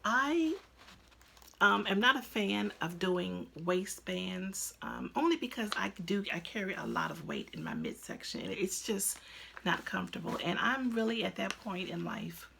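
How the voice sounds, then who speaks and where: A woman's voice: mid-range voice, very animated, a little slow, creaky, fairly bright tone, slight background noise. A woman in her fifties hosting a crafting podcast, chatting casually at home with lots of ums and restarts. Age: 50s. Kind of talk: casual chat to camera. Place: at home, indoors.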